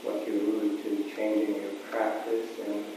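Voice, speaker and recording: A man from a workshop audience asks a question in a measured, deliberate way, his low voice staying flat and even. He is heard from a distance, in a very echoey room with clear background noise. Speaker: workshop participant. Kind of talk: asking a question.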